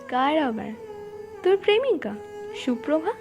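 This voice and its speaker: A woman's voice: high-pitched, heavily acted. A woman acting a hurt, teasing young woman reunited with a close friend in an audio drama. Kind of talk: Bengali audio drama dialogue.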